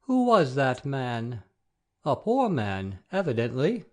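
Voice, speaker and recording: Woman doing an old bishop guy in an audiobook drama, serene and kind, low voice that swoops around a lot. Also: big pitch swings, darkish tone, heavily acted. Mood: gentle, grave. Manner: deliberate, mild, pausing between phrases. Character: gentle, grave, serene elderly male bishop. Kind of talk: dramatised classic audiobook acting.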